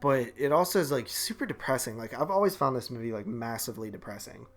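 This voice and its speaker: A man's voice: mid-range voice, lively intonation, quick pace, faint music behind. A man co-hosting a podcast, reviewing movies in a casual, rambling, slangy way. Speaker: podcast co-host.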